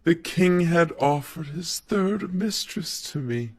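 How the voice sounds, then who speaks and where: An actor playing a disgusted, world-weary intellectual in an audio play, declaiming a monologue in halting, broken phrases. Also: male voice, on the high side, animated, darkish tone, a little hiss or hum. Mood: intense, confessional. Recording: music under the voice.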